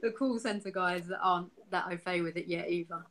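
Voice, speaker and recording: A woman's voice: on the low side, a little fast, a bit bright. A woman from the UK, a designer, talking frankly in a casual interview, full of fillers and restarts. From United Kingdom. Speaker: designer interviewed as software user.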